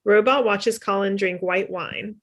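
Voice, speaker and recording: A woman with a mid-pitched voice reads stage directions. It is scripted narration for a table read, recorded at home over a video call. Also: fairly even intonation, a little slow, vocal fry. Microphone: video call computer microphone.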